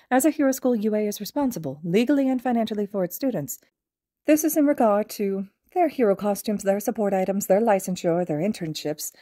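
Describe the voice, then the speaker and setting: A woman's voice: mid-range voice, dark tone, no room sound, clean studio sound, pitched above the performer's own voice, close to an everyday voice. A woman voicing a clever, courteous small animal school principal in a fiction reading, speaking formally and reassuringly. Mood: courteous, businesslike.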